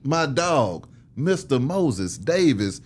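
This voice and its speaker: A man's voice: medium pitch, very animated, takes it slowly, husky. A man with an American accent hosting a casual radio interview in a familiar, slangy way. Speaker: show host, interviewer.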